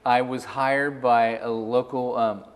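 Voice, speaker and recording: A man's voice: mid-range voice, flat delivery, slow. A middle-aged man from Missouri, a musician and interview guest, casually telling his personal history. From Missouri, United States. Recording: echoey, reverberant room.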